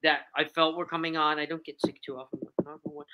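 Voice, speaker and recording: A woman hosting a live video-call game show, bubbly and emphatic, her voice a bit hoarse. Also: sits low, little pitch movement, fast, lo-fi sound. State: hoarse from lost voice.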